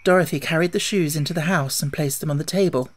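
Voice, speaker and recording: A man's voice: on the high side, quick pace. A man reading a children's audiobook as scripted narration.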